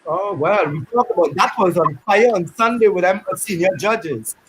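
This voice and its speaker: A man's voice: high voice, animated, moderate pace, background noise. A man hosting a panel discussion, chatty and joking in a loose, easy way. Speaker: host.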